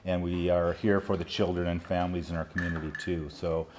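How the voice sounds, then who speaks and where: A man's voice: low voice, flat delivery, brisk. A man speaking as an instructor, explaining a program in a promotional interview. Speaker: early childhood education instructor. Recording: noticeable background noise.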